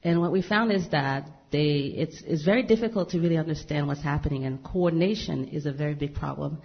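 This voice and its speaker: A woman's voice: low voice, fairly quick, husky, dull audio. A woman from Haiti, a physicist, asking a question from the audience at a conference. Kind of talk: question from the floor.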